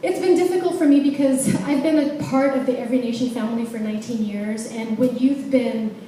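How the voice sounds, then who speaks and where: A woman's voice: a little high, brisk, mellow, slight background noise. A woman in her forties from the Philippines, a guest speaker sharing a personal testimony in a chatty, self-deprecating way. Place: large church hall. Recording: echoey hall, distant mic.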